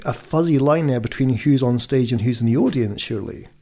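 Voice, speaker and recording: A man's voice: mid-range voice, a little fast, mellow. A man hosting a podcast conversationally, inquisitive and thinking aloud as he questions and recaps. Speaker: podcast host.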